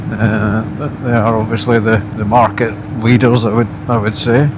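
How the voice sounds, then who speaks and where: A Scottish man giving a casual, unscripted product review as a motorbike vlogger, speaking haltingly in short phrases while riding outdoors. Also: fairly low pitch, flat delivery. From Scotland. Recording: loud motorbike wind and engine noise.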